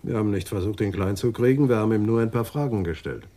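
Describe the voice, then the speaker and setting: A man's voice: lowish voice, quick pace, dark tone, natural voice. A man playing a stern, methodical police adjutant in a radio crime drama, firm and matter-of-fact. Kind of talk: 1980s German radio play acting.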